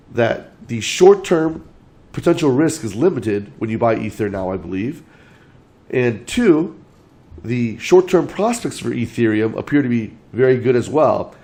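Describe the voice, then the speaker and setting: Guy going hoarse from being sick, walking through his points one by one, mellow dark tone. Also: medium pitch, animated. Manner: measured, lays out points in order. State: losing his voice from illness. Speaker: crypto channel host. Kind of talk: explaining his market outlook.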